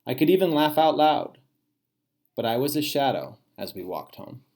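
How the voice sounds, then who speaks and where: A man's voice: mid-range voice, very animated, moderate pace, a little mellow. Man reading a children's storybook aloud.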